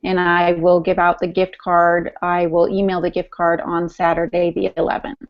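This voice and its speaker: A woman co-hosting a podcast, talking in a chatty, enthusiastic way with fillers and quick bursts. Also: lowish voice, completely flat delivery, lo-fi sound. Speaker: podcast co-host. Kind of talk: casual podcast chat.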